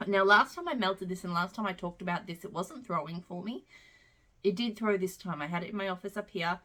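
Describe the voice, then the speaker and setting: A woman's voice: fairly low pitch, a little fast, bright, forceful tone. Congested woman chatting about wax melts. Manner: rambling, chatty, frequent "um" and side tangents. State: congested from a cold. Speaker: wax melt reviewer. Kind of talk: casual chatty product review vlog. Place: at home.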